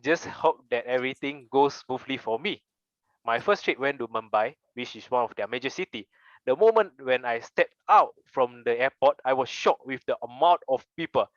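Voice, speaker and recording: A man's voice: mid-range voice, expressive, normal speed, no room sound, muffled recording. A young man with a Malaysian English accent, giving an earnest prepared speech with personal stories and rhetorical questions. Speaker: club member giving a speech.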